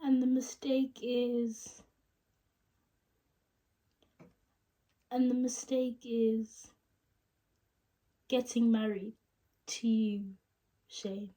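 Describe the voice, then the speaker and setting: A woman playing a regretful newlywed wife in a dramatic monologue, confessing in a halting, emotional, vulnerable way. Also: fairly high pitch, dark tone, far from the mic. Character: regretful newlywed wife tearfully ending her marriage.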